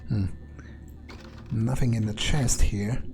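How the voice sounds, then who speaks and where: A man's voice: fairly low pitch, fairly even intonation, slow pace, over music. A man doing in-character gaming commentary as a cynical vampire, thinking aloud in a hesitant, dryly sarcastic, sweary way. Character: cynical, sardonic, foul-mouthed male vampire. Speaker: let's player speaking in character.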